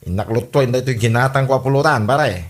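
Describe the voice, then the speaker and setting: A man acting out an easygoing village husband in a comedy audio drama, bored at home and keen on a drink. He speaks with an Ilocano accent at a middling, everyday pitch. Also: fast talker, close to an everyday voice. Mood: cheerful, inviting. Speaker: actor in comedy radio drama.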